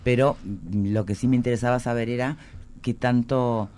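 A man's voice: mid-range voice, steady tone, normal speed, a little mellow, clean tone. A man hosting an interview, asking thoughtful, probing questions in a casual way. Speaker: interview host.

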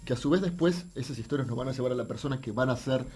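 A middle-aged man with a Uruguayan accent giving explanatory interview answers as a guest, halting and thoughtful, repeating words as he searches for the next one. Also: medium pitch, darkish tone. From Uruguay, raised abroad in France, Venezuela and Argentina. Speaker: interview guest, visual artist. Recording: faint background noise, drifting off mic.

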